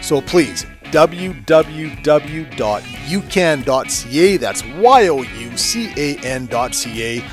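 A man's voice: a little high, expressive, slow pace, hardly any pauses, faint music behind. A Canadian man in his fifties, speaking casually and conversationally as a podcast host. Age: 50s. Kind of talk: casual interview chat.

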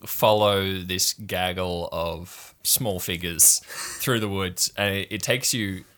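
A man's voice: low-pitched, takes it slowly, a bit bright. A man narrating an improvised tabletop game as game master, in a descriptive storytelling way.

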